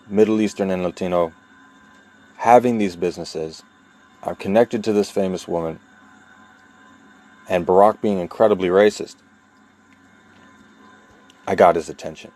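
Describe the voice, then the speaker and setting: Low-voiced, deliberate, emphatic man. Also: darkish tone. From New York, USA. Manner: deliberate, emphatic, with long pauses between phrases; earnest and insistent, repeating key points. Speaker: vlogger telling his own story. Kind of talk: personal monologue to camera.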